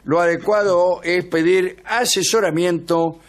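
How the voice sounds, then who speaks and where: An Argentine man with a Rioplatense accent and a slightly high voice. He hosts comic radio banter, delivering advice in a deadpan, mock-serious way and repeating himself. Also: a little slow. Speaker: radio comedy show host.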